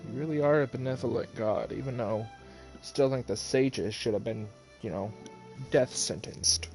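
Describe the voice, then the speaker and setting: A man streams a game with casual, off-the-cuff commentary. His medium-pitched voice has a heavy fry to it. Faint game music plays behind him. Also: normal speed, darkish tone. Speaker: game livestreamer.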